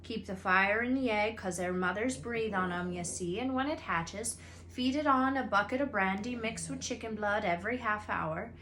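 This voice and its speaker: A woman voicing a big, excitable male gamekeeper in a storybook, eager, folksy, rambling and doting. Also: mid-range voice, moderate pace, slight background noise, natural voice. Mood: eager, pleased.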